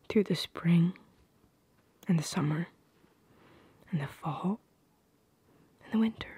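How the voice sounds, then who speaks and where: Breathy, slow, shy young woman acted by a woman. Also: lowish voice, a little mellow. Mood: earnest, loving. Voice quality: breathy, whispery. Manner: hesitant, halting, tender. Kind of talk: intimate ASMR roleplay, often whispered.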